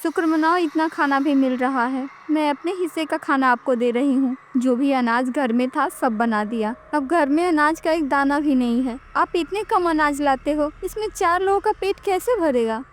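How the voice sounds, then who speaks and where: High-pitched, worried village wife, played by a woman. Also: moderate pace, hardly any pauses, slight background noise, lightly acted. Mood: reproachful. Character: poor farmer's young wife, worried, self-sacrificing.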